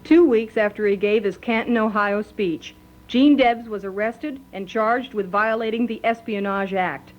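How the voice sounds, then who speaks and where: A woman's voice: mid-range voice, unhurried. A woman narrating historical events in a plain, matter-of-fact scripted style. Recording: dull lo-fi recording.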